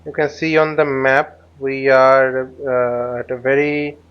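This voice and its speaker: A man giving live tutorial commentary as he flies a simulator, speaking haltingly and often repeating or rephrasing himself. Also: mid-range voice, little pitch movement, clear, resonant tone, muffled recording. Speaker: flight simulator instructor and channel host.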